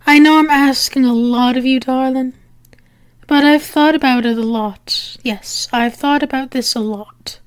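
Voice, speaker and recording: A woman playing a lovesick demon in an intimate ASMR roleplay, pleading earnestly and haltingly with her angel lover. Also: high-pitched, fairly even intonation, clear, resonant tone, no room sound, studio quality. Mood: earnest, persuasive. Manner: earnest, halting, repeating herself in pleas.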